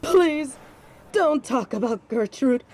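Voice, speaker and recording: A woman's voice: high-pitched, animated, takes it slowly, a little mellow, slight background noise. A woman playing a tough, wry young biker who plays things down, in an audio drama. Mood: pleading. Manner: wry, downplaying.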